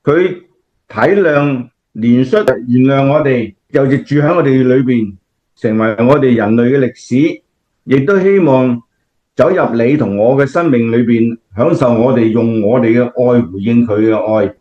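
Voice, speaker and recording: A man's voice: mid-range voice, a little slow, natural voice. A man with a Cantonese accent playing a kindly, devout grandfather who explains things patiently in a scripted radio drama. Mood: kindly, explanatory. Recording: dull, lo-fi audio.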